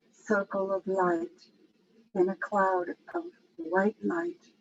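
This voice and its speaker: Lady doing a guided meditation, real slow n soothing, long pauses, bit muffled lo-fi audio w faint noise. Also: medium pitch. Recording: dull, lo-fi with faint background noise.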